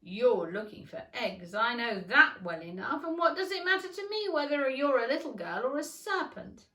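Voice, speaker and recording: An indignant, suspicious pigeon from a storybook, acted out by a woman, scolding and complaining in a shrill high voice. The pitch swoops about in flustered exclamation, and the room echoes around it. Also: sing-song, normal speed, bright, forceful tone, full character voice. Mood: sulky, accusing. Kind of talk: storybook character reading. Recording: roomy, echoing room.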